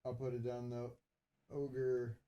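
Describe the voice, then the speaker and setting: A man chatting informally as a podcast co-host about gaming, often thinking out loud as he goes. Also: medium pitch, monotone, slow, highs cut off. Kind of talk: casual gamer chat.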